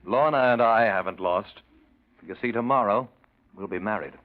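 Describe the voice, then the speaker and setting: A man's voice: fairly low pitch, moderate pace, natural voice. Actor as a firm, scornful man, thin-sounding. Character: firm, scornful man defying a possessive woman. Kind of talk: 1940s radio drama acting. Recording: thin, phone-like old radio recording.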